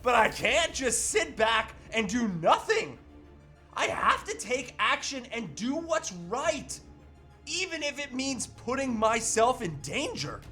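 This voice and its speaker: A man's voice: extremely high pitch, big pitch swings, takes it slowly, bright, forceful tone, faint music behind, heavily acted. A man playing a determined, earnest and melodramatic husband on a crusade in an amateur comedy table read. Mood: earnest, insistent.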